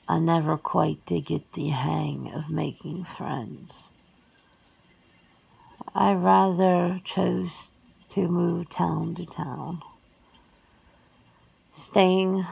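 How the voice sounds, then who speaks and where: A woman plays a lonely, long-lived vampire sister in an ASMR roleplay. She speaks in a hushed, husky murmur, slow and measured. Her wording is formal and reserved, with a wistful temper. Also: sits low, full character voice, poor audio. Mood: melancholy, confiding.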